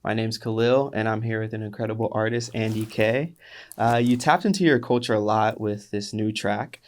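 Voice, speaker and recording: Male interviewer, lower voice, friendly and affirming. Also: steady tone, moderate pace. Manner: friendly, asks questions with short affirmations. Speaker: host. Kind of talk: interview hosting.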